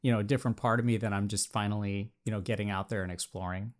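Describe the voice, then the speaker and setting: A man's voice: lowish voice, fairly even intonation, fast. Winding, hesitant male podcast host. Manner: hesitant, repeats words and restarts, long winding questions. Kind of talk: interview conversation.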